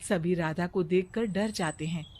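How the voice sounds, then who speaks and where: A woman narrating a cartoon moral story in an even, matter-of-fact storytelling voice. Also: lowish voice, normal speed, a little hiss or hum.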